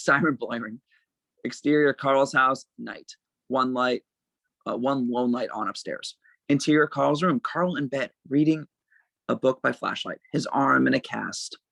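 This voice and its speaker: Low-pitched, close and dry screenplay narrator. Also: unhurried, vocal fry, a bit bright, dry recording. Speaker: stage-direction reader in a table read. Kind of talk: scripted narration, reading screenplay stage directions.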